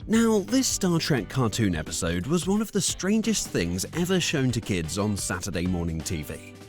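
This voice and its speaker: Man narrating with lively pitch over music. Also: normal speed. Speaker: narrator of a nostalgia countdown video. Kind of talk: scripted narration. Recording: music under the voice.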